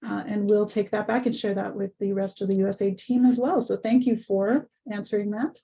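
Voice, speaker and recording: A woman hosting an online webinar over a video call, a little hoarse, friendly and full of ums. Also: mid-range voice, steady tone, a little fast, mellow. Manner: friendly, many ums and uhs. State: slightly hoarse. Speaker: webinar host. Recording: muffled video call audio.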